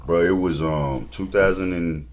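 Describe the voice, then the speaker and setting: A man's voice: deep voice, unhurried, noisy background. A man in his thirties, a rapper and label owner, talking casually and laid-back in an interview, with slang and lots of fillers. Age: 30s.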